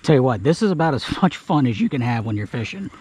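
A man casually talking through a fishing trip from his kayak on a creek, relaxed and full of filler words, recorded on an action camera outdoors. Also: medium pitch, fast, breathy edge. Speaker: fishing guide. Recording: outdoor camera mic, audible background noise.

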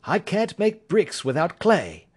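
A man's voice: sits high, huge pitch swings, takes it slowly, voice pushed up, heavily acted. A man reading aloud, voicing a keen, grave and impatient male detective in a storybook reading. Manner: crisp, grave, impatient outbursts. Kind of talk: audiobook character reading.

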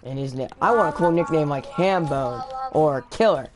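A woman's voice: deep voice, normal speed, crowd noise. A young woman streaming a zombie game, chatting with friends in a voice party and giving them orders. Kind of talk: casual gaming party chat. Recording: clear background noise with clicks.

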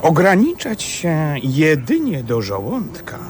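A man's voice: fairly high pitch, wildly swooping pitch, slow pace, full character voice. An actor playing a grandiose great writer in a radio play, theatrical and lecturing in his delivery. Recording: street ambience with vehicle noise.

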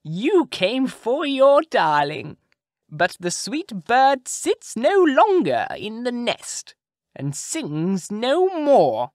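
A narrator voicing a wicked old witch in a storybook reading, drawing out taunting words slowly. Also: mid-range voice, huge pitch swings, no echo, studio quality, voice pushed up, full character voice. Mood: mocking. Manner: slow, drawn-out taunting shrieks.

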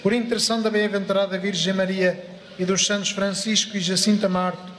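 High-voiced priest in long, echoing reverb. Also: male voice, little pitch movement, fairly bright tone, a little hiss or hum. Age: middle-aged. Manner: formal, measured recitation. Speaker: priest presiding at prayer. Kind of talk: solemn liturgical prayer and blessing. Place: large open-sided chapel before a crowd. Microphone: gooseneck microphone at lectern, through PA. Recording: very echoey amplified sound, long reverb.

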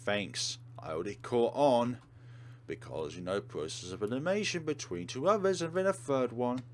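A man's voice: mid-range voice, lively intonation, moderate pace, a little hiss or hum. A man hosting a let's play, reading game narration aloud and adding casual commentary.

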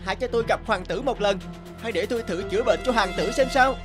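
A man's voice: high voice, quick pace, close to an everyday voice. A man playing a polite, humble, kind-hearted young wanderer in a cartoon fairy tale, speaking earnestly. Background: background music.

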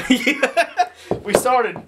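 A man's voice: very high-pitched, very slow. A man in his thirties hosting an indoor game with casual, competitive, joking banter in a warehouse office. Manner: animated, excitable, joking. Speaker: host and player in an office golf challenge. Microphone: far camera across the room. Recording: distant camera mic, loud noise, clicks.

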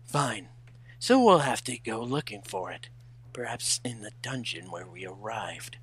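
A man voicing a brooding, peevish hedgehog rival in a fan-fiction reading, husky and breathy, with curt, condescending delivery. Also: on the low side, big pitch swings, a little slow, full character voice. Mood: stern, resigned.

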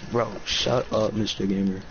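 A man's voice: fairly low pitch, moderate pace, dull audio, slight background noise. A teenage boy playing a shooter with a friend, bickering and calling out plays in casual banter. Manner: bickering, excitable. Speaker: fellow player.

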